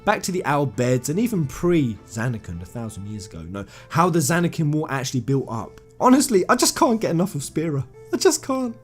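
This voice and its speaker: A British man presents casual fan commentary in a slightly high voice. His pitch moves around a lot as he makes his points emphatically and enthusiastically. Faint music plays behind him. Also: sing-song, brisk, few pauses. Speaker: video host.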